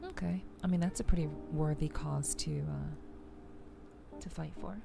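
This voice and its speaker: A woman hosting a soft-spoken ASMR game livestream, chatting to viewers and explaining things in a gentle, rambling way. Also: deep voice, unhurried, creaky, background noise. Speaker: streamer, host of a weekly live show. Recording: music playing behind the voice. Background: background music.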